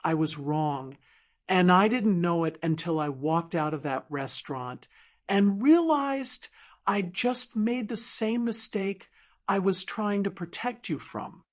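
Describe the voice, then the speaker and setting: A woman playing a wounded, regretful woman in an audio drama, confessing in an earnest, halting, emotional way. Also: on the low side, expressive. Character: wounded, regretful woman confessing her fears to a lost love.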